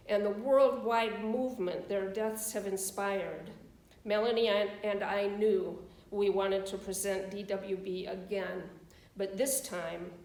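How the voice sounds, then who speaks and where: A woman leading a church service, introducing and reading a text to a congregation in a measured way, in an echoing space. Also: medium pitch. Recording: roomy, echoing voice recording.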